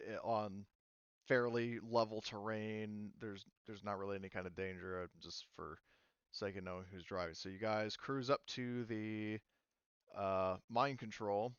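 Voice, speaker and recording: A man working as game master, narrating a tabletop roleplaying game casually and haltingly, often correcting himself and swearing. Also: lowish voice, clean tone, no echo, muffled recording.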